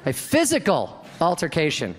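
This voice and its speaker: A man in his thirties doing a deadpan stand-up routine on a comedy club stage, acting out the voices of the people in his stories, into a handheld mic. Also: a little high, a little slow. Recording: live club recording with crowd laughter. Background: audience laughter.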